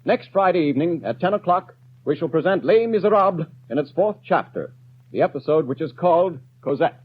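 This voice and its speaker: A man's voice: fairly high pitch, animated, slightly rough. Tinny vintage American radio announcer, male. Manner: formal, measured. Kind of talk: scripted 1930s network announcing. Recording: tinny, phone-like 1930s radio broadcast.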